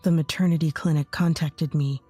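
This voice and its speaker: A woman voicing a remorseful, earnest young husband with a lowered voice. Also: fairly even intonation, fairly quick, mellow, dry recording, soft background music. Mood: explaining. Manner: apologetic, earnest.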